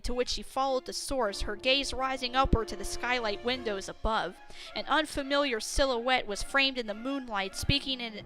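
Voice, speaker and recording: A woman reading a scripted story as narrator, with an expressive, dramatic storytelling delivery. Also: on the high side, low-quality recording.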